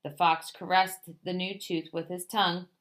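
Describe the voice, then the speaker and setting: A woman reading a children's picture book aloud in an expressive storytelling way. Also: on the low side, normal speed.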